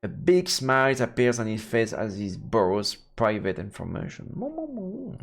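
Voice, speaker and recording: A man's voice: wildly swooping pitch, normal speed, gravelly. A man doing playful let's play commentary, reading a visual novel aloud and throwing in silly exclamations. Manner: playful, breaks into silly high-pitched exclamations. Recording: game music behind the voice.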